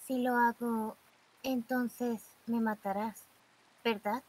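A woman voicing a quiet, emotionless teenage girl in a live fan dub of a game, speaking in a flat, calm, breathy way. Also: on the high side, slight background noise, low-quality recording. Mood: intent. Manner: flat, calm, slow questioning.

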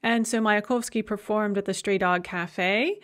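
A woman's voice: mid-range voice, fairly even intonation, unhurried, fairly bright tone. A middle-aged woman giving a recorded university lecture in a conversational way, with frequent filler sounds.

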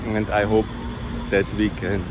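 A man's voice: lowish voice, flat delivery, unhurried. A middle-aged man talks in a chatty, enthusiastic way while walking city streets and filming himself with a phone, speaking through an earphone mic. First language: German. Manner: chatty, enthusiastic, loosely phrased with restarts. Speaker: vlogger giving a walking tour. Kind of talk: casual walk-and-talk narration. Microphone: wired earphone mic, phone held at arm's length. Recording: outdoor street noise. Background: city traffic.

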